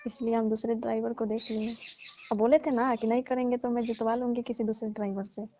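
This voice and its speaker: A woman's voice: a little high, flat delivery, a little fast, mellow, a little hiss or hum. A woman chatting casually and explaining herself in a comic phone conversation. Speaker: caller playing a farm owner. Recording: dull lo-fi phone call recording.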